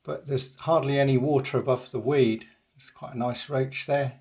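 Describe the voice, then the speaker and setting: An older man calmly narrating a day's fishing in a voice-over, matter-of-fact and unhurried, with frequent pauses. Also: medium pitch. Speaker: angler vlogger.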